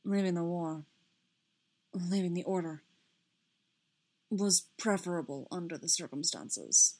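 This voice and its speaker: A woman voicing a restrained, self-reproaching man in a story reading, with a quiet, measured, dryly formal manner. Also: fairly low pitch. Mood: resigned.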